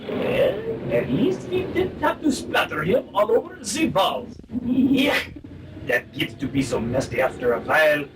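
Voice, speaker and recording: A man's voice: sits high, wildly swooping pitch, unhurried, distant mic, noisy background, heavily acted. An actor playing a fussy, easily confused henchman villain in a comic spy radio play. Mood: exasperated, shifty.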